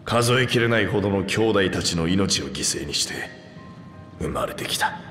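An actor playing a proud, anguished reptilian warrior with rough, boastful speech in a video game. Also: male voice, low-pitched, lively intonation, moderate pace, a bit bright. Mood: bitter. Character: proud two-headed reptilian warrior man, anguished and remorseful. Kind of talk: dramatic video game voice acting. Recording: echoey long reverb under music.

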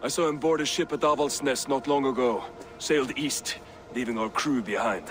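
An actor playing a blunt Viking crewmate in a video game cutscene, brisk and ribbing. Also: male voice, mid-range voice, noisy background, natural voice.

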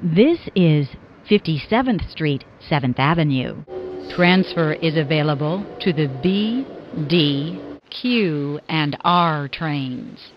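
A woman reading scripted subway announcements as a transit announcer, formally and in separate, clearly spoken phrases. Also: low voice, expressive, slow, muffled recording, noisy background. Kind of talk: scripted subway train announcement, pieced from clips.

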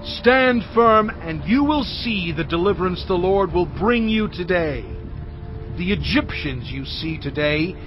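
Brief: Moses, firm leader, higher voice, dull sound, soft music under. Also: male voice. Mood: reassuring. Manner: earnest, reassuring declamation.

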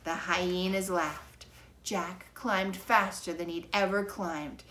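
A middle-aged woman reads a children's story aloud in a fairly low voice. Her telling is measured and dramatic, recorded in a living room with clear background noise. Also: steady tone, a bit bright. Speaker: storybook reader. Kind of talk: expressive children's book narration. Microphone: camera a few feet away.

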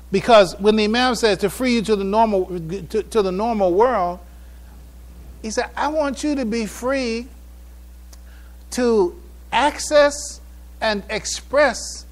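A man giving a religious public address, halting and repeating phrases for emphasis. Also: high-pitched. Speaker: speaker addressing a mosque congregation.

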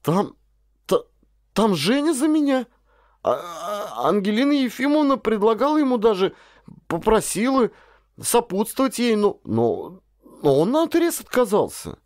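A man voicing a flustered, defensive young woman with halting speech in an audiobook. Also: sits high, big pitch swings, a little mellow, no echo, clean studio sound, pitched above the performer's own voice. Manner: halting, stammering protests. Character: young woman Valya, tearful, defensive polar weather observer.

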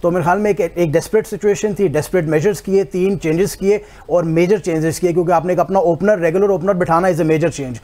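High-voiced guy on a tv sports panel, mixing in english, pretty emphatic. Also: steady tone, a little fast, hardly any pauses, warm tone. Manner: mixes English into Hindi-Urdu, emphatic. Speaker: cricket analyst. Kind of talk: studio cricket analysis. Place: TV studio.

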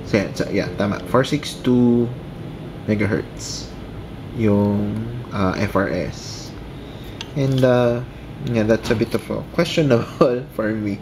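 Male, Filipino accent, fairly low voice. Casual, halting commentary, clear background noise on the recording. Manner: hesitant, rambling, trailing off mid-thought. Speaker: amateur radio operator and video commentator.

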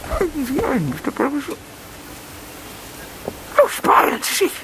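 An actor playing a mysterious, menacing male blackmailer in a crime radio play, whispery, deliberate and commanding. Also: very high voice, wildly swooping pitch, full character voice. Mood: cold menace. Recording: noisy vehicle ambience.

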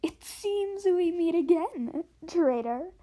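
Young-sounding woman as a high-voiced, slow, evil dragon queen. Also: lively intonation, mellow, full character voice. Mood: loud menace. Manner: theatrical, drawn-out, deliberate delivery. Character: evil dragon queen, menacing, imperious villain. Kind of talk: amateur animation voice audition.

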